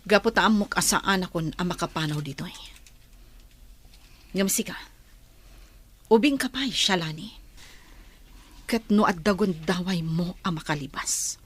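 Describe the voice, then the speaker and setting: A woman's voice: fairly low pitch, measured pace, long pauses, husky. A woman playing a weary, concerned older-sister figure, probing and advising, in an Ilocano audio drama. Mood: regretful.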